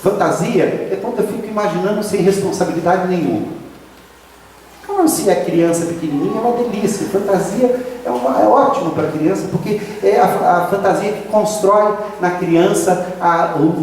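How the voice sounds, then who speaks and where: A man's voice: high-pitched, normal speed, a little mellow, slight background noise. A man, preaching a sermon emphatically, drawing out key phrases and rising to exclamations. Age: 50s. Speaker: pastor. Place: church sanctuary. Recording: echoey hall from a distant mic.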